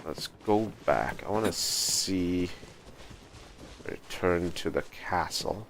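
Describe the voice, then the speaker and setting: A man giving laid-back play-through commentary on a stream. His voice is deep and he takes his time, musing casually. A little noise sits in the background. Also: slow. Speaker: game livestreamer.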